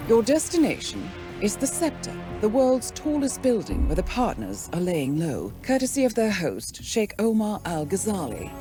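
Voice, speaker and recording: An actress playing a composed, resolute female spy handler in a video game, speaking in a poised, deliberate, articulate way. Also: mid-range voice, wildly swooping pitch, music in the background. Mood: businesslike, controlled. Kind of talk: scripted video game briefing and monologue.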